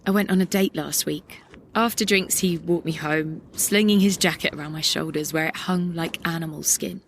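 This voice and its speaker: A woman's voice: lowish voice, normal speed, a little hiss or hum, natural voice. Weary, reflective young woman, acted out by an actress. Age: young adult. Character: weary, reflective young woman narrating a climate-ravaged world. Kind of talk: poetic audio play monologue.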